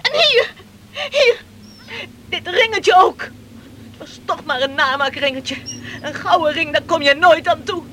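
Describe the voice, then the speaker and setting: A young woman in a radio drama, furious and hurt at her sweetheart. Her voice is very high and sharp. She speaks with scorn and raw feeling over faint noise. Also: expressive, measured pace, heavily acted. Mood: scornful, furious. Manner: scornful, emotional. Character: angry, wounded young woman breaking off her engagement. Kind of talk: radio play acting.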